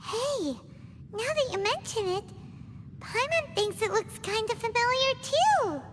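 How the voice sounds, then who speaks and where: A woman voicing a small, childlike fairy companion in a video game, chatty, excitable and expressive. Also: a little slow, very noisy. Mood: wondering, subdued. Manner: squeaky, expressive, speaks of self in third person.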